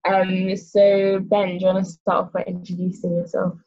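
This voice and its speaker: A muffled, slightly dull recording of a young English woman. She moderates a panel informally, with a hesitant delivery and a mid-pitched voice. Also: monotone, moderate pace, darkish tone. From small countryside town on the east coast of the UK. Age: young adult. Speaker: seminar host. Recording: muffled, lo-fi online call audio.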